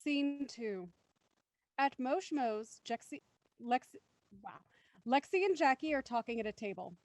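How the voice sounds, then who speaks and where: A woman's voice: sits high, lively intonation, unhurried, a little mellow, dry recording. A woman hosting a live script reading and reading the stage directions aloud.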